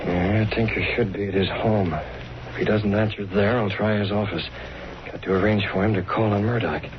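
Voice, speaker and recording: A man's voice: on the low side, steady tone, moderate pace, lightly acted. Commanding masked vigilante, acted by a man, noisy old radio. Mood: brisk, commanding. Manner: commanding, clipped, taunting. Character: masked vigilante man posing as menacing, taunting crook. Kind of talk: 1930s radio acting. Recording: noisy, dull vintage radio recording.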